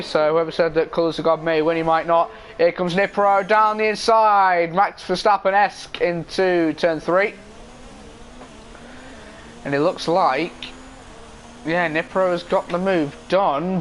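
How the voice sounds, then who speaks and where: A young man commentating an esports race in a casual style, hoarse and losing his voice, clearing his throat often. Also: high voice, slight background noise. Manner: casual, frequent throat clearing and filler ums.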